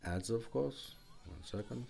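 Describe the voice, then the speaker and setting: A man's voice: mid-range voice, unhurried, gravelly, a little mellow, husky, soft background music. A man who speaks as a gives a casual, informal product demonstration with hesitant phrasing. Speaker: car radio restorer presenting a product.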